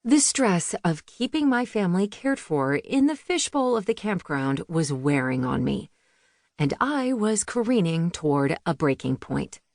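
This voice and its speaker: A woman's voice: lowish voice, very animated, unhurried, darkish tone, no room sound, clean studio sound. A woman reading a memoir audiobook as a scripted narration.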